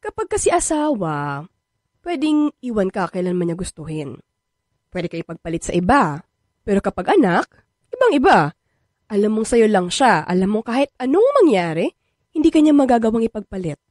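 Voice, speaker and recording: A woman's voice: medium pitch, very animated, darkish tone, no echo, clean studio sound. An actress playing a playful, persistent young woman in a radio drama, coaxing and teasing, with a Filipino accent. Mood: earnest. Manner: coaxing, teasing, drawn-out words. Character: playful, persistent, sweet-talking young woman wanting a baby.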